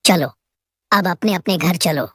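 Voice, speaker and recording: A woman's voice: fairly low pitch, unhurried, fairly bright tone, dry recording, studio quality. A woman voicing a humble, worried father deer who speaks earnestly and emotionally in a cartoon moral story. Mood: anxious, resolute.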